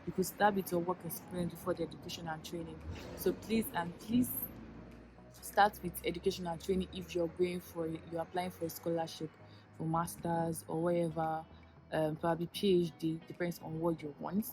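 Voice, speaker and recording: A woman's voice: fairly low pitch, flat delivery, normal speed, a little mellow, faint music behind, rough recording. A Nigerian woman in her twenties, a Yoruba speaker, giving earnest, chatty advice in a vlog with many fillers and restarts. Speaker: YouTuber giving application advice.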